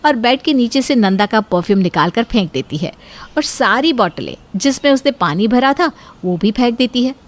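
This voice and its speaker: Female narrator, scripted cartoon storytelling, raised pitch. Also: lively intonation, normal speed.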